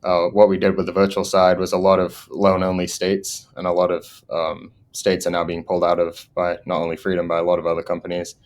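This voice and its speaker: A man's voice: low-pitched, completely flat delivery, brisk, few pauses, a little hiss or hum. A man in his thirties with an English accent that has gone partly American, telling stories as a podcast guest and laughing often. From England. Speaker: guest, solar sales manager. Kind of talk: casual podcast interview.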